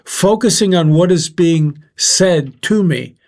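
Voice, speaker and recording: An older man leads a group talk in a loud, instructive way, counting off his points as he goes. His voice sits a little high for a man. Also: slow pace. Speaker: host. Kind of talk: discussion facilitator.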